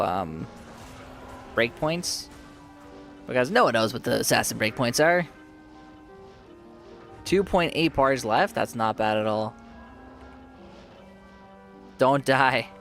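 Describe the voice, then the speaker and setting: A man chats casually through a live game stream, answering viewers between plays. His voice is mid-pitched and getting worn as his throat gives out, and faint game music plays behind him. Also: takes it slowly, gravelly, long pauses, a bit bright. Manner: chatty, reads and answers viewers. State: losing his voice, sore throat. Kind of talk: casual live-stream chat while playing.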